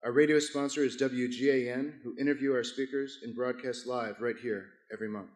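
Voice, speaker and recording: A hoarse-voiced man stands at a podium microphone and hosts a morning business forum. He reads announcements in a formal, scripted way, tripping on a word here and there. His voice rings through a large, echoey event hall. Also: medium pitch, fairly even intonation, a little fast. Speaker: chamber of commerce president hosting a business forum. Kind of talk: reading sponsor announcements at a breakfast event.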